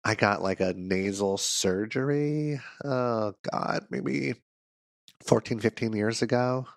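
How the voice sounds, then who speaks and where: A man's voice: lowish voice, slow pace, creaky, dry recording, clean studio sound. Nasal, congested man hosting a podcast. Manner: playful, self-mocking, chatty. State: sick, congested. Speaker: counselor and podcast host. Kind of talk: solo podcast monologue.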